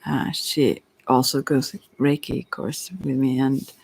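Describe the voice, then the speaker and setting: A woman hosts a soft-spoken tarot session in an ASMR manner. Her voice is noticeably creaky and sometimes whispery. She rambles in a relaxed, hesitant way, drifting into asides. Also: very deep voice, slow. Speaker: host, tarot reader.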